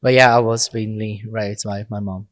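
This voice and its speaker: Relaxed low-voiced Mexican priest. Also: male voice, normal speed, dry recording, studio quality. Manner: relaxed, laughs often, speaks in short phrases. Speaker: priest, podcast guest. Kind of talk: casual interview talk.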